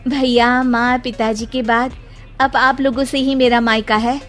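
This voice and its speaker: A woman voicing a gentle, wistful married woman visiting her childhood home in a cartoon story. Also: fairly high pitch, little pitch movement, unhurried, soft background music, pitched above the performer's own voice. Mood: timid, wistful.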